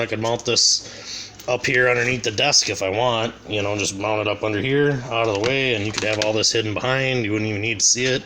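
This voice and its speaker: A man giving a casual, unscripted hands-on demonstration in a plain-spoken, rambling way, recorded on a handheld camera at a desk indoors. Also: lowish voice. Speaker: hobbyist demonstrating a radio. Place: indoors at a home radio desk. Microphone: handheld camera mic, near the desk. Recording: clear background noise, handheld camera.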